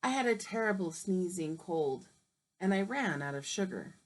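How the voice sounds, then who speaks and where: A woman's voice: on the low side, expressive, moderate pace, pitched below the performer's own voice. A woman voicing a male wolf who narrates his own version of a storybook in a chatty, persuasive way. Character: smooth-talking male wolf telling his side.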